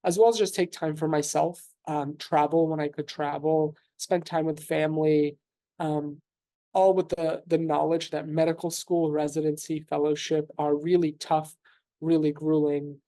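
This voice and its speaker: Gravelly, mellow-voiced man in his thirties. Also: on the high side, level tone. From New York City. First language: Russian. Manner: thoughtful, measured, frequent fillers and restarts. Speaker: physician guest. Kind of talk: conversational interview answers.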